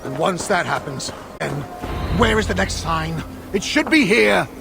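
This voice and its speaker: A man's voice: high-pitched, expressive, a little slow, gravelly, over music, exaggerated voice. A man playing a wry, bickering dwarf rebel in a video game, talking in a dry, teasing, grumbling manner. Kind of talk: conversational video game acting.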